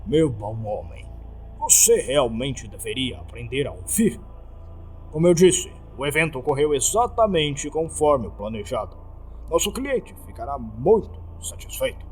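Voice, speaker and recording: A man voicing a calm, suave hotel boss, soft-spoken and courteous, in a horror story. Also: medium pitch, a little slow, soft background music, natural voice. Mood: calm satisfaction.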